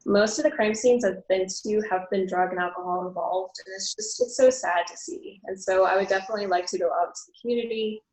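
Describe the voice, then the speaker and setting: A woman taking part in a pageant, answering interview questions in a soft, hesitant way with many fillers. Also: mid-range voice, a little fast, hardly any pauses, echoey room, low-quality recording. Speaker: pageant contestant.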